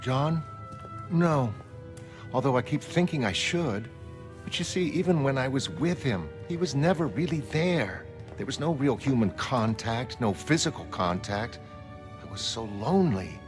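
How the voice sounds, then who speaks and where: A man's voice: medium pitch, animated, measured pace, music in the background, natural voice. A man voicing a candid, lonely woman, a former costumed heroine, conversational and emphatic in a comic-book reading.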